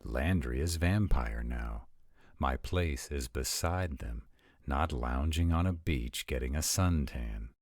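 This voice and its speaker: Man acting a vampire prince, extremely deep, slow, formal, stern, dry close recording, audio drama. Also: expressive, no echo, heavily acted. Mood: grave, authoritative. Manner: formal, measured. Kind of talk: scripted roleplay audio drama.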